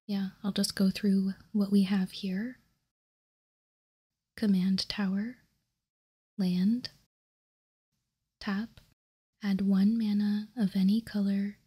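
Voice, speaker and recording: A woman hosting an ASMR card showcase in a breathy, unvoiced whisper, hushed and deliberate, close to the microphone. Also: medium pitch. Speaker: host.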